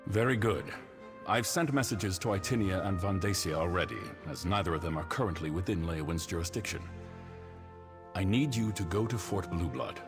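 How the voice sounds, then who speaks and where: Grave, very deep-voiced councillor, played by a man. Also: over music, lightly acted. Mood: urgent, resolute. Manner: measured, official. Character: grave, authoritative male imperial councillor. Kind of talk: video game voice acting.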